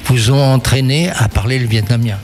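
A man's voice: mid-range voice, steady tone, a little fast, a little mellow. Conversational male reporter. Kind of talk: interviewing and reporting.

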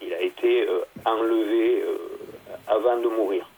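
A man, a theology guest, explaining at length in a halting conversational way, with many fillers and restarts. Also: on the low side, creaky, darkish tone, husky, poor audio.

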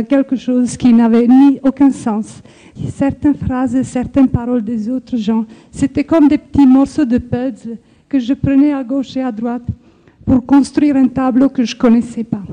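Earnest older woman, very bright high voice. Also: poor audio. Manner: slow, earnest, reflective with hesitations. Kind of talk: personal faith testimony.

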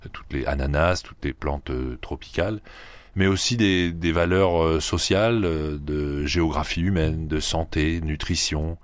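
Very deep-voiced hesitant man. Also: measured pace, no room sound. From Paris region, France. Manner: hesitant, many fillers and restarts. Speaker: guest, sand yachting club head. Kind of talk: radio interview.

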